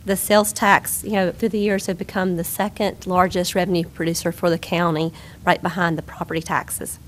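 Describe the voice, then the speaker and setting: A woman getting over a cold, her voice squeaky, giving a briefing to a county board. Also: flat delivery, a little fast, a little hiss or hum. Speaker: presenter on sales tax. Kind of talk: briefing presentation. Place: county board meeting room.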